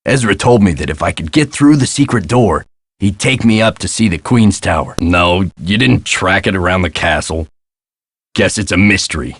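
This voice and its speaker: An actor playing a gruff, put-upon castle hotel caretaker in a video game, with a folksy, sardonic and exasperated way of talking. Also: male voice, deep voice, expressive, moderate pace, no echo, studio quality, full character voice. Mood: wry, reflective. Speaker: caretaker and front desk clerk. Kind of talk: scripted video game character lines.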